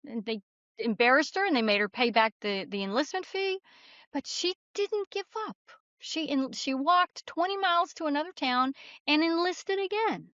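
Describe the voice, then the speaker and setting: A woman's voice: high voice, animated, moderate pace, fairly bright tone, no room sound, muffled recording. A woman speaking as a guest author in an interview, telling stories in an earnest, explanatory, conversational way. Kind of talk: conversational interview answers, storytelling.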